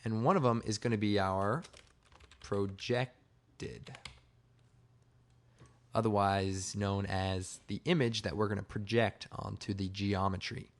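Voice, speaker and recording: A man with a slightly hoarse, raspy voice giving a casual, informal software tutorial, explaining step by step. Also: fairly low pitch. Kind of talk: casual screen-recorded tutorial narration.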